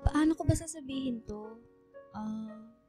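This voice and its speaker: A woman's voice: a little high, unhurried, mellow, soft background music, close to an everyday voice. A woman acting a coy, candid young woman in love, talking conversationally in a radio romance drama. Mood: hesitant.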